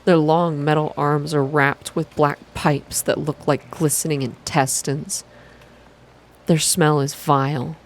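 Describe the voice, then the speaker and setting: A woman reading a story aloud in a calm, slow, hushed ASMR style. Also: deep voice, a little hiss or hum. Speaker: reader of a visual novel for an online channel.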